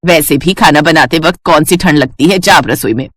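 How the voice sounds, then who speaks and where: A woman's voice: low voice, fairly quick, fairly bright tone, no echo, clean studio sound, pitched below the performer's own voice. A woman voicing a stingy, bossy older mother-in-law with a nagging, sarcastic manner in a moral story. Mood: scolding.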